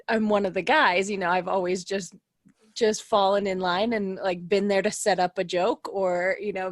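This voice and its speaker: A woman's voice: mid-range voice, no room sound, clean studio sound. A woman in her thirties talking casually on a podcast, giggly and self-deprecating, as one of the show's players. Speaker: podcast cast member and player.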